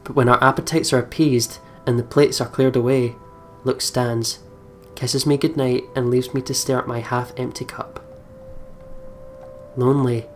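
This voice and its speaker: A woman hosting a let's play, reading the game's narration dramatically and expressively with candid, profane asides. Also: rumbling low. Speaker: let's play video host. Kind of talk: voiceover reading of game narration with candid reactions. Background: game music.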